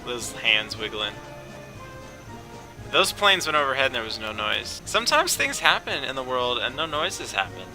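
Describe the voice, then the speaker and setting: A man's voice: mid-range voice, very animated, normal speed, bright, forceful tone, faint music behind. A man casually commentating on a game, rambling, sarcastic and profane.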